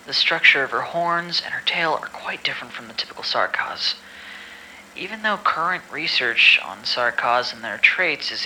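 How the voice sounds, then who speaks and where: A man's voice: medium pitch, sharp tone, slight background noise, rough recording. A man playing an irritable, cynical staff member who records medical files in an amateur audio drama, shifting between dry formal reading and exasperated grumbling.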